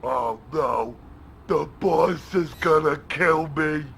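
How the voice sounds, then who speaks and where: A man voicing a big, brutish, dim-witted supervillain with a gravelly voice in a video game. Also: fairly high pitch, slow pace, a bit bright, slight background noise, full character voice. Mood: dismayed.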